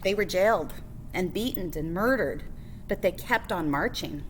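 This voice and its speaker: A woman's voice: on the low side, expressive, moderate pace, slight background noise, low-quality recording. A woman reading a children's picture book biography aloud as a librarian, phrase by phrase with emphasis on key words.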